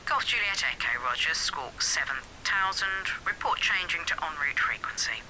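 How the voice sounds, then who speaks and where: A man playing a calm military air traffic controller giving brisk, official instructions over the radio. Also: on the high side. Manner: brisk, official, matter-of-fact. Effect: radio transmission. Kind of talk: clipped radiotelephony phraseology. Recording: hissy, noisy, harsh radio link.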